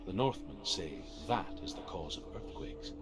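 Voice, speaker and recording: A man playing a solemn storyteller of old Norse myths in a video game, narrating in a grave, measured way. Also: low voice, very animated, over music.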